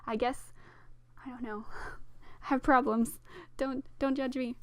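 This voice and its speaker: A young woman with a sore throat, slightly hoarse, casually narrating a game build in a rambling, self-deprecating way with lots of fillers. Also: high voice, steady tone, slow pace, no echo. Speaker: Sims speed-build narrator. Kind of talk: casual voice-over commentary.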